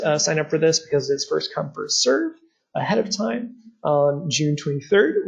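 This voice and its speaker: A young man speaks to students in a webinar, his slightly raised voice husky and gravelly. He pauses often on "uh" as he explains things earnestly, walking through slides for a program abroad. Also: fairly high pitch, highs cut off. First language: not Czech. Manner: frequent "uh" fillers, earnest and explanatory. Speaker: study abroad program presenter. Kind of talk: webinar presentation over slides.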